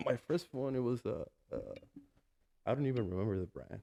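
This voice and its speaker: A man in his early thirties from Mexico with a Mexican Spanish accent, a guest talking casually in an interview with many pauses and restarts. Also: mid-range voice, lively intonation, vocal fry, warm tone. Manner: hesitant, many fillers and restarts. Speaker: guest, food truck owner.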